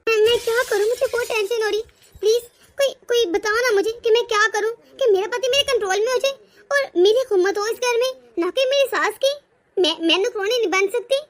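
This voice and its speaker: एक औरत घरेलू मेलोड्रामा कहानी में जलन और चोट से भरी, रूठी, हुकूमत चाहने वाली जवान पत्नी का किरदार नाटकीय अंदाज़ में, शब्द दोहराते हुए निभा रही है।. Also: female voice, very high voice, a little fast. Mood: anxious, indignant. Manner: dramatic, repeats words when upset. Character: young wife, jealous, wounded, sulky and controlling. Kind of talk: melodramatic domestic story acting.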